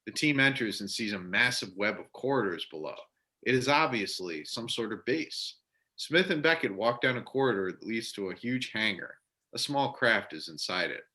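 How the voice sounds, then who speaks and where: Bright-voiced male narrator. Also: fairly low pitch, expressive, moderate pace. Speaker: narrator reading stage directions. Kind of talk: scripted narration, amateur readers theater.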